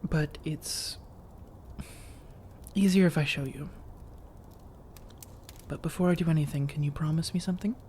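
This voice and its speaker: A woman playing a seductive, teasing vampire roommate in soft-spoken ASMR roleplay, coaxing and reassuring, often drifting into whispers. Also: deep voice, unhurried, long pauses, slight background noise. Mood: teasing, secretive.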